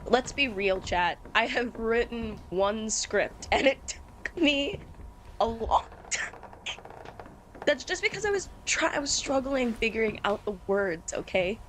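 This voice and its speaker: A woman's voice: a little high, animated, measured pace, a bit bright, a little hiss or hum. A woman hosting a livestream, chatting casually in an informal, self-deprecating way with some swearing. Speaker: livestream host.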